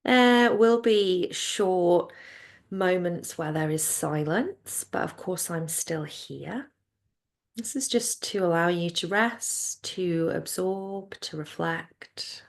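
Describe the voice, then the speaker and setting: Female yoga nidra guide, very slow and soothing, with some creak in the voice. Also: on the low side. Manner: soothing, unhurried, gentle instructions. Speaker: yoga nidra teacher guiding a class. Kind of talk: guided relaxation meditation.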